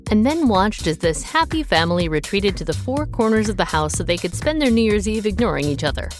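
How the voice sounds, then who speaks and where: A woman's voice: medium pitch, expressive, fairly quick, soft background music, natural voice. An actress playing a wry, exasperated servant woman who tells her tale in a period audio drama. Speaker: actor and narrator.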